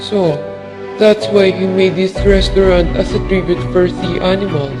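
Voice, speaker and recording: A man playing a gentle, affectionate boyfriend in a dramatized audiobook, speaking in a slow, deliberate way. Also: high voice, steady tone, close to an everyday voice. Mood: attentive. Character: gentle, affectionate older boyfriend, a busy scientist. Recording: long hall reverb, faint music.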